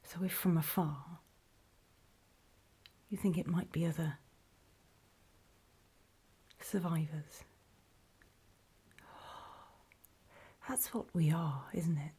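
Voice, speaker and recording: A woman playing a weary, wary zombie apocalypse survivor in a halting, breathy whispered ASMR horror roleplay. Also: low voice, fairly even intonation, warm tone, exaggerated voice. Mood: grim, hushed. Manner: halting, hesitant, slow and trailing off.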